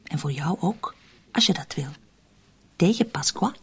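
An actress playing a cunning, cold woman in a radio drama, persuasive and controlled, with a husky, often breathy voice. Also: on the low side, expressive, unhurried, exaggerated voice. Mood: coaxing, scheming. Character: cunning, cold, manipulative woman plotting to shift murder blame. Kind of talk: Dutch-language radio drama acting.

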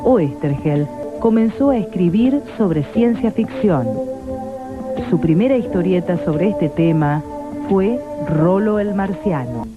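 A woman delivers scripted documentary narration at a middling pitch. The recording is thin and narrow like a telephone, with music clearly playing behind her. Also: expressive, slow. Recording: thin phone-like sound, loud music behind.

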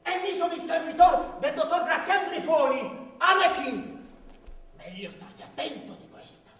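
A man's voice: very high voice, sing-song, moderate pace, pushed and bright, exaggerated voice. A man playing a bumbling, grumbling servant in an improvised commedia dell'arte comedy, muttering and exclaiming in a clownish theatrical way. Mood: wary. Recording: echoey stage recording, distant microphone.

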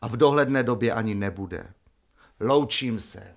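A man's voice: medium pitch, creaky, close to an everyday voice. An actor playing a pedantic, officious Soviet house committee chairman in a radio play, talking in bureaucratic slogans. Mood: official.

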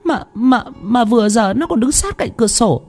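A man's voice: high-pitched, big pitch swings, moderate pace, warm tone, husky, pitched above the performer's own voice, exaggerated voice. A man voicing a terrified young wife who stammers and repeats her words in panic.

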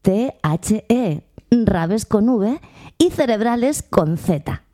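A woman's voice: medium pitch, lively intonation, slow, warm tone. A woman presenter reading scripted radio narration in a dry, matter-of-fact way.

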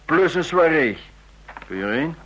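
An actor playing a roguish, envious intelligence officer in a radio play, speaking with sardonic humour in clipped phrases. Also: male voice, on the high side, big pitch swings, normal speed, fairly bright tone, low-quality recording. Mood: sardonic, exasperated. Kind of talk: radio drama dialogue.

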